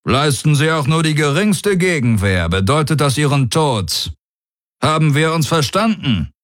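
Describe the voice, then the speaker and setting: A man's voice: fairly high pitch, big pitch swings, measured pace, fairly bright tone, no room sound, studio quality, pitched above the performer's own voice. A man voicing a proud, bold alien warlord in an audiobook, commanding and coolly mocking. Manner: commanding, smugly self-assured. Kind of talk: audiobook character dialogue.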